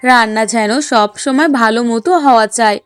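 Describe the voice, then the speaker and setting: A woman's voice: fairly high pitch, steady tone, fairly quick, smooth, soft background music, natural voice. A woman voicing a spiteful, whiny young sister-in-law in a cartoon, scolding and demanding. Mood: bossy, threatening. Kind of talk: Bengali cartoon dialogue.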